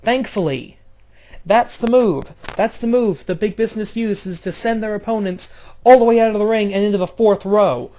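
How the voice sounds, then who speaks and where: A man doing casual play-by-play of a video game wrestling match, jumping between loud outbursts and low, offhand, swearing asides. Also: normal speed. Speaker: play-by-play commentator.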